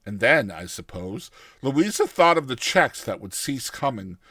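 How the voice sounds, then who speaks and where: A man giving a theatrical, expressive dramatized narration of a short story. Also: medium pitch, a little slow, no room sound.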